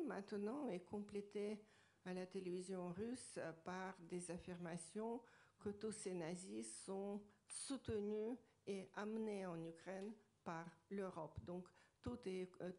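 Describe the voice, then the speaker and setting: A woman interpreting a speaker at a conference, speaking in a halting way with many fillers. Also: mid-range voice, steady tone, warm tone. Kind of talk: consecutive interpreting.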